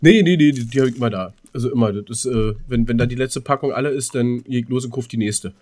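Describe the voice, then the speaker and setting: A man's voice: mid-range voice, quick pace, a little mellow. A man, chatting casually and colloquially as a podcast co-host, with plenty of slang.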